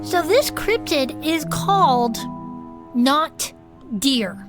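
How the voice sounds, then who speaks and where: Female actor as little comedy-podcast creature, very high voice, chatty, folksy, matter-of-fact, faint music. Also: animated, drawn-out words, exaggerated voice. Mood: matter-of-fact, amused. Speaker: podcast co-host. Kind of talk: comedic improvised in-character banter.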